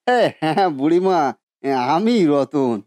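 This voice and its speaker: A man's voice: fairly high pitch, lively intonation, moderate pace, a little mellow, no echo, clean studio sound, close to an everyday voice. A man voicing a good-natured, modest food seller who talks earnestly and hesitantly in a cartoon story. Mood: friendly. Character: humble, good-natured biryani seller and husband. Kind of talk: Bengali cartoon story voice acting.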